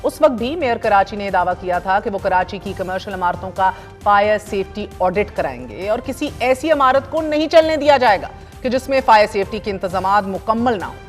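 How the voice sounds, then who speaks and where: A woman's voice: mid-range voice, expressive, fairly quick, faint music behind. A woman, hosting a news talk show, delivering scripted commentary with indignant, pointed rhetorical questions.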